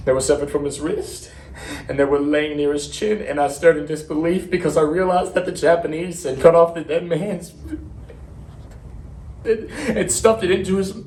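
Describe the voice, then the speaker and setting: A man playing a haunted young Marine veteran, telling of war in a halting, stammering dramatic monologue with heavy pauses. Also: mid-range voice, darkish tone, lightly acted. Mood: horrified, haunted. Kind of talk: serious dramatic interpretation monologue. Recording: roomy, distant mic, faint noise.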